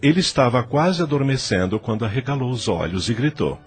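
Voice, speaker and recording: A man's voice: medium pitch, very animated, brisk, soft background music. A man narrating an audio drama as a scripted storyteller.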